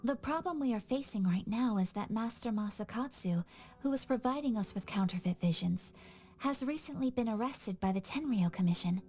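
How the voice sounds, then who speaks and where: A woman acts as a young noblewoman in a game cutscene. Her voice sits fairly high, and she speaks with formal, earnest composure and gracious politeness. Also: lively intonation, moderate pace, a little mellow, natural voice. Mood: calm, serious. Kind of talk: video game dialogue acting.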